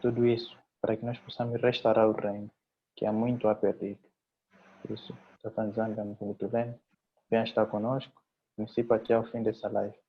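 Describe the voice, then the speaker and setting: A man's voice: on the low side, little pitch movement, a little fast, slight background noise. A man leading a solemn spoken prayer, building it from repeated parallel phrases. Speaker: congregation member leading prayer. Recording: dull lo-fi livestream audio.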